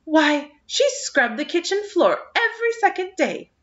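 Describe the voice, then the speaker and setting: An indignant, gossiping village matron who complains in a high, bright, raised voice, as a woman puts her on in a storybook reading. The recording is somewhat dull and lo-fi. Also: sing-song, measured pace, exaggerated voice.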